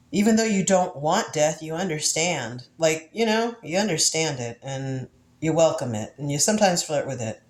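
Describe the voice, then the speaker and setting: A woman's voice: deep voice, a little mellow, roomy sound. A woman in her fifties, congested from the flu, musing through a tarot reading in casual spiritual talk, laughing often and repeating herself. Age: 50s. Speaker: tarot reader.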